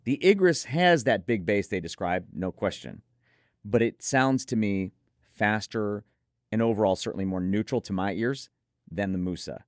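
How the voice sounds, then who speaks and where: Low-voiced man reviewing audio gear. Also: animated, normal speed, no echo, clean studio sound. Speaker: headphone show co-host reviewer. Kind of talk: conversational product review commentary.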